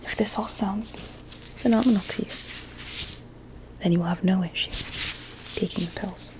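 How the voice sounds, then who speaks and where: A woman playing a sweetly coaxing, sinister doctor in an ASMR roleplay, with a deliberate, soothing and formal manner. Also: medium pitch, fairly even intonation, lightly acted, low-quality recording. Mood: hushed, persuasive. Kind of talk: whispered ASMR medical roleplay.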